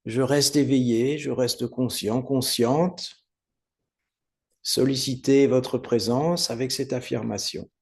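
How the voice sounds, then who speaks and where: Man, meditation teacher, very slow pace, gravelly fry, soothing step-by-step guidance, frequent pauses. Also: mid-range voice. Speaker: yoga nidra meditation teacher. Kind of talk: guided meditation instruction.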